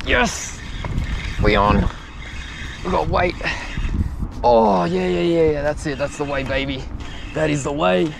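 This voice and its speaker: A young man commentating his own fishing live from an outdoor wharf at night, chatty and loudly excited when fish bite. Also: fairly high pitch, slow, creaky, long pauses. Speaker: fishing vlogger. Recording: outdoor night recording with background noise.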